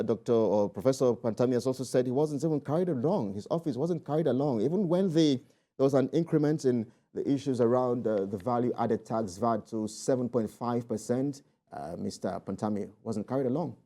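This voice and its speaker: A Nigerian man interviewing on a news programme, putting questions in halting phrases with repeated words. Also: mid-range voice, warm tone.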